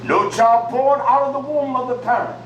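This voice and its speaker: A man's voice: very high-pitched, normal speed. A man preaching a sermon in short, emphatic phrases. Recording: echoey room from far off with faint noise.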